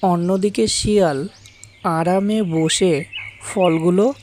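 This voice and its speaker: A woman's voice: fairly low pitch, steady tone, slow pace, darkish tone, slight background noise. A woman narrating a scripted children's fable as the storyteller.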